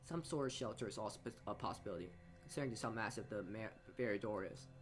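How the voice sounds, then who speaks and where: A man's voice: on the low side, fast, soft background music. A man reading a story game aloud in informal narration, often stumbling and going back over his words. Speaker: player reading a visual novel aloud.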